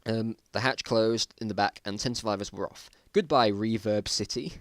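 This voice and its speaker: A man's voice: fairly low pitch, normal speed, dry recording, studio quality. A man giving an amateur dramatic reading of his own old story, with amused, self-mocking asides. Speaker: narrator.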